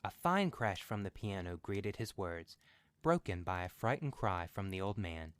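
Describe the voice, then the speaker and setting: A man reads a story aloud for a podcast. His voice sits on the low side and follows a scripted narration. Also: sing-song, normal speed, clear, resonant tone, no echo.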